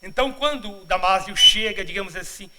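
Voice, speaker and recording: A middle-aged man from Bahia, Brazil, giving a spiritual lecture at a congress, mixing humorous asides with measured, didactic explanation. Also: sits high, pushed and bright, low-quality recording. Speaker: congress speaker. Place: congress auditorium.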